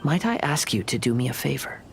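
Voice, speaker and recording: An actor playing a calm, soft-spoken young wandering samurai in a video game, speaking in a measured, polite and formal way. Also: male voice, mid-range voice, vocal fry. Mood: polite request. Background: game music.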